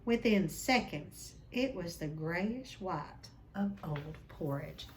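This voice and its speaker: Animated woman reading a story aloud. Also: fairly low pitch, slow pace, slight background noise. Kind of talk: expressive storybook narration.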